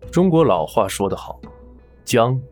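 A man playing a smooth, menacing casino boss in an audio drama, suave, teasing and commanding. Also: medium pitch, big pitch swings, measured pace, darkish tone, faint music behind. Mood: falsely genial. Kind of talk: Mandarin audio drama acting.

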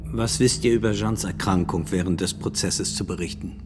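A man's voice: sits low, moderate pace, noisy background, natural voice. Reverberant male interrogator, formal played by an actor. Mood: formal, probing. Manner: formal, probing. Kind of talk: radio drama questioning. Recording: long hall reverb, music behind.

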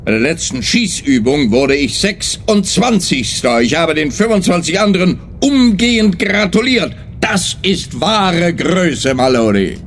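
A man's voice: fairly high pitch, big pitch swings, measured pace, hardly any pauses, a bit bright, slight background noise, full character voice. A man playing a pompous, self-satisfied police officer who lectures in a comic radio crime drama. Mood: smug, lecturing.

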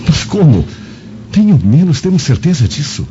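An actor playing a lustful, devoted but uneasy Roman officer and accomplice in a radio drama. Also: male voice, fairly high pitch, very animated, moderate pace, mellow, a little hiss or hum. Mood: craving, uneasy. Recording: muffled lo-fi audio with music.

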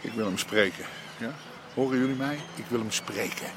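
An actor playing a bullying old-style crime boss in a Dutch radio drama, coarse and demanding. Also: male voice, medium pitch, moderate pace, noisy background, natural voice.

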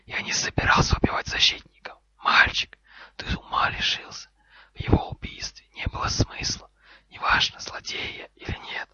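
A man voicing an angry, haughty snake in a hissing whisper, sharp and commanding in an audiobook style. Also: moderate pace, lo-fi sound. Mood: indignant.

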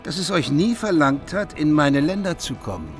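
A man's voice: a little high, very animated, normal speed, faint music behind. A man acting a gracious, dignified king in a German radio play. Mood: formal welcome. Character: courtly king, gracious, dignified host to guests.

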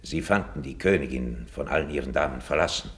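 Low, calculating scheming duke. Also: male voice. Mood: solemn, confessing. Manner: measured, formal, insinuating. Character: cold, calculating male duke, scheming courtier. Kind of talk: 1950s radio drama acting, classical stage German.

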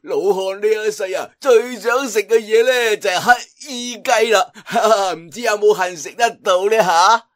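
A man's voice: sits high, moderate pace, few pauses, a bit bright, voice pushed up. A man voicing an eccentric, playful old trickster in a Cantonese audiobook, with an odd affected voice and an exclaiming, rambling manner. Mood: gleeful. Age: older. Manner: exclaiming, rambling, mock-panicked, chuckling.

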